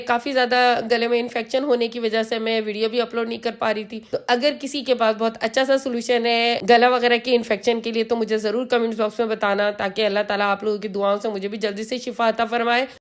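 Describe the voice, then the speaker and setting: Hoarse woman teaching a hairstyle. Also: fairly high pitch, level tone, quick pace, hardly any pauses. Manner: patient, instructive, repeats key steps. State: hoarse from a sore throat. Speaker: hairstylist and salon channel host. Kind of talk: step-by-step hair styling tutorial.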